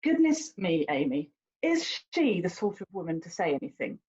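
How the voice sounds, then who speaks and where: A woman's voice: on the low side, very animated, normal speed, mellow, highs cut off. A woman playing a haughty, worldly young lady in a period drama, with an emphatic, condescending, theatrical delivery. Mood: exasperated. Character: haughty, worldly young English lady, teasing older sister. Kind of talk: stage-style period drama dialogue.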